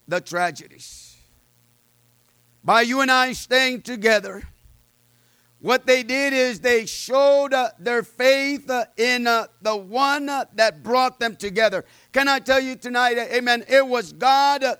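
A man preaching a sermon with personal testimony, loud and fervent, peppering his words with amens and uh's. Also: extremely high pitch, clear, resonant tone, no echo.

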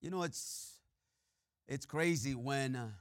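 A man with a Mexican-Texan accent preaching a sermon, full of "uh" and "amen" fillers, swinging between loud preaching and quiet asides. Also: mid-range voice, slow, no room sound, studio quality. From Mexico and Texas.

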